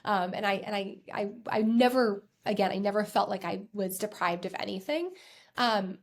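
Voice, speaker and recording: A woman's voice: mid-range voice, moderate pace. An American woman in her thirties hosting a podcast, telling a personal story in a conversational, self-reflective way with emphatic repetitions. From United States. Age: 30s. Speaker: podcast host and accountant.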